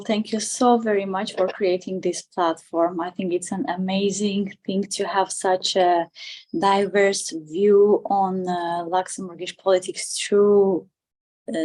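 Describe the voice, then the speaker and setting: A woman at a middling pitch. She answers as an interview guest in a conversational way. Her delivery is halting, as she repeats and rephrases words while she builds her sentences. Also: fairly even intonation, darkish tone. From Armenia. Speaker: interview guest, political candidate.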